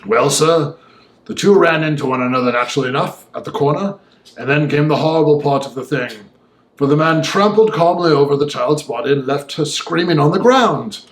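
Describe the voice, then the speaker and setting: Man playing an emphatic gentleman storyteller, echoey room. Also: fairly high pitch, fairly quick, lightly acted. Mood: indignant. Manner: emphatic old-fashioned storytelling. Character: gentleman recounting a disturbing night, indignant and vivid. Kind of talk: audiobook dialogue monologue.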